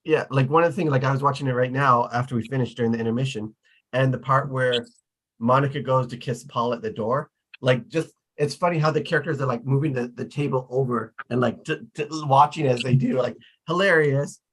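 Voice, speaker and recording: A man with a middle-pitched voice chats in a relaxed group discussion. He sounds informal and agreeable, feeling his way through sentences in small halting pieces. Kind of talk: casual discussion.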